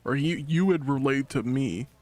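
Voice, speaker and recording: A man recounting personal experiences casually, in halting, emphatic slang. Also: medium pitch, darkish tone. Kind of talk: casual chat, personal recollection.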